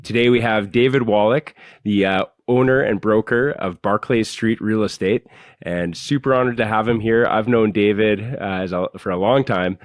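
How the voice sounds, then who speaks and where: Casting: male podcast host, low voice, dry close recording, loose rambling questions. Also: normal speed, no room sound. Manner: rambling, hesitant questions full of fillers. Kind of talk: casual interview hosting.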